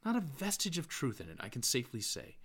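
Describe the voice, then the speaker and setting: Man acting an earnest, wordy middle-aged fellow, raised pitch. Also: wildly swooping pitch, fast, fairly bright tone, husky, full character voice. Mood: confiding, insistent. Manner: wordy, hedging, moralizing. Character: earnest, mild-mannered middle-aged man, eager to persuade and reason. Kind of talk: literary audiobook dialogue.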